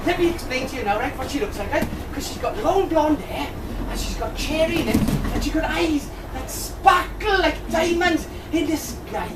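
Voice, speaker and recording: A woman playing Buttons, a cheeky, chatty, lovelorn Welsh servant lad in a pantomime, rambling colloquially to the audience. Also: high voice. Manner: colloquial, rambling, tag questions. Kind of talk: British pantomime, bantering with the audience. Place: tavern stage performance. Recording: distant, echoey amateur video with crowd noise.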